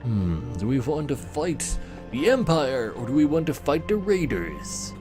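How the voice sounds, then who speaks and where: A man's voice: medium pitch, big pitch swings, a little slow, music in the background. A man livestreaming a game, switching between chatty commentary to his viewers and reading story text aloud as a narrator. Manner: chatty, talking to live viewers.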